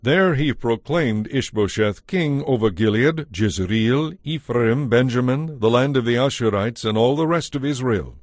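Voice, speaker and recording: A man's voice: medium pitch, lively intonation, measured pace, hardly any pauses, no room sound, studio quality. A man narrating a dramatized audio Bible as a scripted storyteller.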